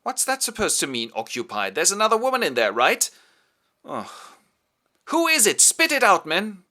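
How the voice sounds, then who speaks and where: A spiteful jilted countess needles and mocks in a raised voice, as a man puts her on. She is sharp-tongued and scheming, from a comic storybook play. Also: a little high, huge pitch swings, brisk, voice pushed up, full character voice. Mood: mocking. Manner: mocking, needling.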